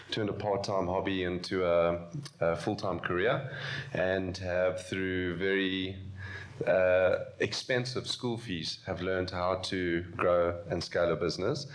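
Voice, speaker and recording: A business owner introducing himself on a panel, dry and self-deprecating. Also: male voice, low voice, fairly even intonation, normal speed.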